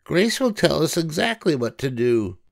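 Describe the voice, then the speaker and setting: An older man voicing a cheerful, literal-minded young maid in a high, earnest sing-song. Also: sing-song, normal speed, vocal fry, dry recording, clean studio sound.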